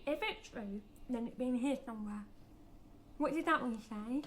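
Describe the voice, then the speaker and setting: Radio drama role: actress as young deaf woman, high voice, soft consonants, earnest and pleading, faint ambience behind. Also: normal speed, a little hiss or hum, close to an everyday voice. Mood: urgent, focused. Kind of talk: naturalistic radio drama acting.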